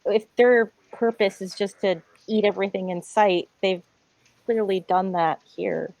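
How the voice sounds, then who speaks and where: A woman's voice: mid-range voice, fairly even intonation, unhurried, gravelly, a little mellow, no echo, poor audio. A woman chatting casually as a player in an online tabletop game.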